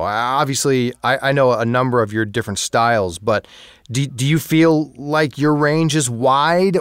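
A man's voice: mid-range voice, clean tone, no room sound. A man hosting an interview, asking casual questions and often giving short agreements. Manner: relaxed, asks questions, frequent short agreements. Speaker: interview host.

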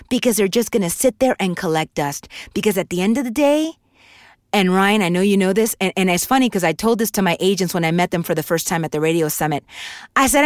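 A woman in her thirties who is a voiceover artist, giving emphatic, animated advice in a casual podcast interview. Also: medium pitch, no room sound, clean studio sound. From Puerto Rico.

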